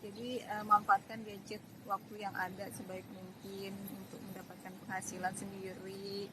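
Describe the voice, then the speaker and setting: A woman's voice: mid-range voice, level tone, moderate pace. A woman giving an informal, hesitant promotional talk in vlog style. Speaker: online business promoter. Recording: loud background noise.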